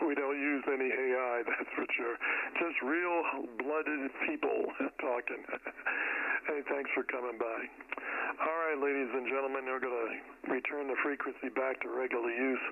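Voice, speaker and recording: A man hosting a casual ham radio contact, talking in a folksy, encouraging way with radio sign-off jargon. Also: mid-range voice. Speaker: amateur radio operator and YouTube host. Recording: narrow phone-like radio audio, faint static.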